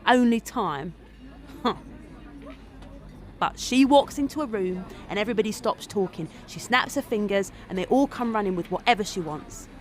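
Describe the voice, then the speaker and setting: A woman's voice: medium pitch, lively intonation, normal speed, a little hiss or hum, natural voice. A woman acting a wry, earthy confidante who gossips in a sardonic monologue for an audio drama. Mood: wry admiration.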